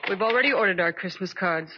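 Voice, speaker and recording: An American actress playing a wry, world-weary secretary with a dry, deadpan delivery in a 1940s radio detective drama. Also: female voice, fairly low pitch, fast, lightly acted. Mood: cool, dismissive. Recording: dull, noisy vintage radio recording.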